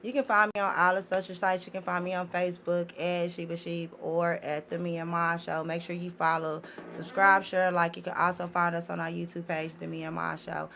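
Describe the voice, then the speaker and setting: A woman's voice: on the low side, flat delivery, fairly quick, vocal fry, hardly any pauses, a bit bright, slight background noise. A woman talking as a podcast guest in an impassioned, casual way, blunt, emphatic and given to swearing. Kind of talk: impassioned casual opinion talk.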